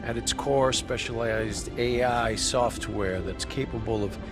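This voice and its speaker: A man's voice: mid-range voice, unhurried, music in the background, natural voice. An actor playing a cocky male military operative, giving briefings with a wry, theatrical, profane edge in a video game. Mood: briefing, emphatic. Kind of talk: game cutscene voice acting, expository briefing.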